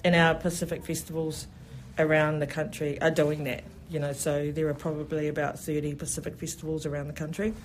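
New festival organiser, mid-pitched and enthusiastic, a rambling interview guest. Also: flat delivery, fairly quick, a little hiss or hum, poor audio. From New Zealand. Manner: enthusiastic, rambling, many "you know" fillers. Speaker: festival event specialist. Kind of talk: radio interview.

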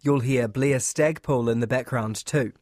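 A man's voice: medium pitch, a little slow, a little mellow, no room sound. New man hosting a podcast, dry sound. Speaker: podcast host and reporter. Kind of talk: podcast narration and on-location interviewing.

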